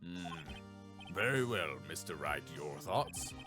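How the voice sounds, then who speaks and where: Stern old judge guy doing the voice, low n formal, bossy courtroom type, game music under. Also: lively intonation. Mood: stern, solemn. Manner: measured, formal, authoritative.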